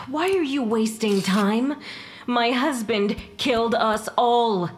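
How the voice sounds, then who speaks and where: A woman's voice: on the high side, slow pace. An actress playing the sardonic, indignant ghost of a murdered mother in an audio drama, with an impatient manner. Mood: frustrated. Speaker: actor in fiction podcast.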